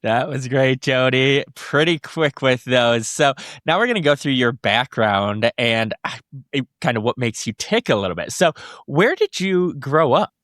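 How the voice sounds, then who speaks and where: A man's voice: mid-range voice, animated, measured pace, a bit bright, no echo, clean studio sound. Upbeat male podcast co-host. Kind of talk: interview intro and questions.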